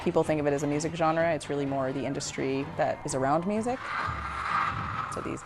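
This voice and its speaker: Woman narrating a doc explainer, really low n creaky voice, loud music behind. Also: a little fast.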